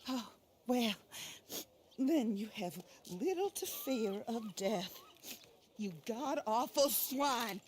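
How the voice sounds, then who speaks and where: Vengeful, imperious widow over outdoor noise. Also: female voice, fairly high pitch, lively intonation, slow pace, long pauses, darkish tone. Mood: scornful fury. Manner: theatrical, scornful, formal speech. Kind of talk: dramatic game cutscene acting.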